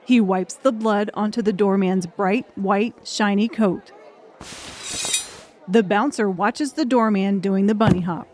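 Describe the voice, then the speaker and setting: Female audiobook narrator, medium pitch, scripted storytelling over faint crowd. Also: a little slow, a little mellow.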